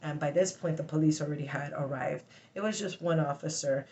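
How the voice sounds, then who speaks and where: A woman venting in a casual personal story, blunt and sweary, with halting, emphatic, agitated speech broken by sudden vocal tics. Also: deep voice, dark tone. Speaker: vlogger telling a personal story.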